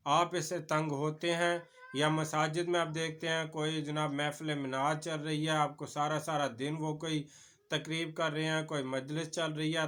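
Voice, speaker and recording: A man's voice: on the high side, sharp tone. A man with a Pakistani accent giving an informal explanatory lecture as a lawyer, addressing the viewer directly and mixing in English legal terms.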